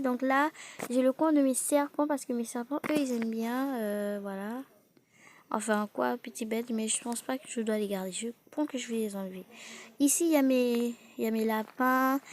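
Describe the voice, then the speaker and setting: Young female vlog host, fairly high voice, nasally congested and sick, improvised casual delivery with repeats, slightly noisy home-made audio. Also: darkish tone. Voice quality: congested, blocked-nose voice. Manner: halting, repeating words, exclaiming. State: sick with a cold. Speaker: host of a game house tour video. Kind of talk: casual improvised tour commentary. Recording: noisy amateur recording with clicks.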